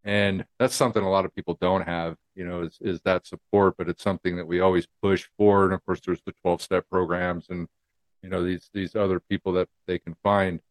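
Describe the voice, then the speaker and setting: Casting note: male host, fairly low voice, easygoing and encouraging, plenty of "you know.". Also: fairly quick. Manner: relaxed, many fillers, supportive. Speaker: podcast host. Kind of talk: casual interview.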